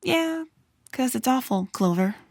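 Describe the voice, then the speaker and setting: A woman's voice: high voice, expressive, unhurried, vocal fry, darkish tone, dry recording, studio quality, heavily acted. A woman voicing a moody, wry pony writer of detective stories in a noir-tinged audio drama. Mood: self-deprecating, weary. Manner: moody, wry, self-deprecating. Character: world-weary, self-doubting female pony detective-story writer. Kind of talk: noir narration and dramatic dialogue.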